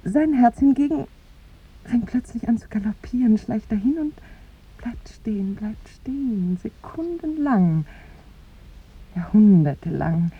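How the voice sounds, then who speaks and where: A woman's voice: fairly high pitch, animated, unhurried, husky, full character voice. An actress playing a tender, lovestruck young woman in a 1950s German radio play, with wistful, lyrical phrasing that often sinks to a whisper. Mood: fretful, tender. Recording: muffled, phone-like 1950s radio recording.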